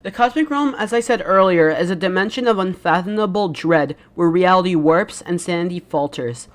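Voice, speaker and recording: A woman narrating a game lore video in a loose, rambling way, her throat still rough from a recent cold. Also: fairly low pitch, expressive, moderate pace, slight background noise. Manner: rambling, with many "like" fillers and self-corrections. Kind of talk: casual lore narration over gameplay.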